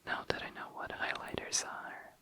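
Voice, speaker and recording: A woman softly whispering in an unhurried way, narrating a craft session for an ASMR video in breathy, unvoiced speech. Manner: soft, unhurried, repeats phrases.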